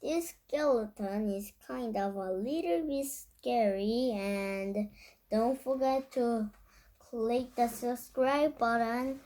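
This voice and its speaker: A child host reading a picture book aloud to viewers in a halting, deliberate way. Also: medium pitch, a little mellow. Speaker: storyteller and video host.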